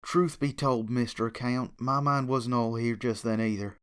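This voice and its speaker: A man's voice: medium pitch, steady tone, normal speed, clean tone, lightly acted. A man plays a serious farm mare from a pony story with a drawl. She is direct, folksy and honest. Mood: grave. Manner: plain-spoken, direct, folksy.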